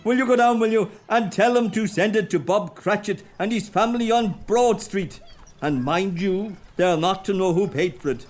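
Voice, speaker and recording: An actor with an Irish accent playing a reformed old miser, giddy with joy, exuberant and laughing in a radio play. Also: male voice, high voice, moderate pace, lightly acted. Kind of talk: amateur radio play acting.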